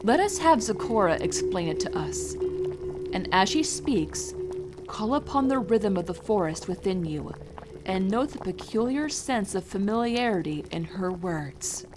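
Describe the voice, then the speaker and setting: Regal pony princess and patient mentor voiced by a woman, stately yet very lively intonation, music behind. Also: mid-range voice, measured pace, close to an everyday voice. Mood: patient teaching. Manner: stately, explanatory. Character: regal pony princess, kindly amused teacher. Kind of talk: dramatic fan fiction audio reading.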